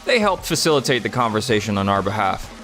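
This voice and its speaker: Man acting a humble, lively-toned young salesman. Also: on the low side, fast talker, faint music behind. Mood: modest. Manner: earnest, modest. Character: humble, sincere young male salesman, gentle and generous. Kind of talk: anime-style dubbed dialogue.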